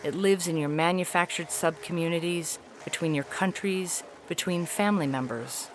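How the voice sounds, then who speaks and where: A woman voicing a haughty, weary feline alien ambassador in a science fiction audio story, speaking in a formal, measured, articulate way. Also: lowish voice, noisy background, lightly acted. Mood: grave disappointment. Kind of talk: dramatic reading of an epistolary science fiction story.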